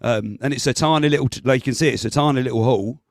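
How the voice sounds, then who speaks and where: A man chatting on a video call, rambling and laughing as he talks, clearing his throat as his voice comes back. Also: mid-range voice, fast talker, no echo, clean studio sound. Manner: rambling, laughs often, restarts sentences. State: voice recovering, clears throat often. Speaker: guest with local knowledge. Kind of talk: casual chat on a video call.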